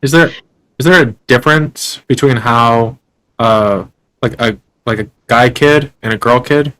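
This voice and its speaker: A man's voice: medium pitch, measured pace, fairly bright tone, poor audio. A young man hosting an interview, asking long, rambling questions with lots of fillers and restarts. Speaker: podcast host. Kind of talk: casual interview.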